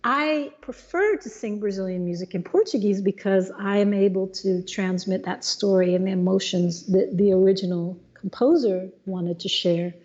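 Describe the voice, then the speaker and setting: A middle-aged woman, a jazz singer, talking in a relaxed interview, thoughtful, with many restarts. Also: mid-range voice, muffled recording. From Los Angeles, USA. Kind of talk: casual conversation.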